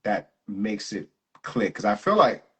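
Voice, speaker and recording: A man hosting a casual live interview as a jiu-jitsu instructor, talking in a relaxed, slangy way and laughing often. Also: lowish voice, a little slow, breathy edge.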